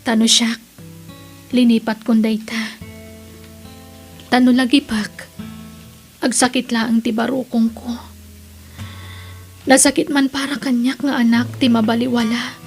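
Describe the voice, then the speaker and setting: A woman's voice: a little high, level tone, measured pace, pauses often, soft background music. A woman playing a hurt but forgiving grown daughter in an Ilocano radio drama, speaking earnestly and emotionally. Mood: tender, hurt.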